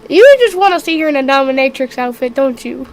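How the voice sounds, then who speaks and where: Female game master, high pitch, unhurried, losing her voice to illness, throat-clearing, faint background noise. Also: lively intonation. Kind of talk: narrating a tabletop game live on stream.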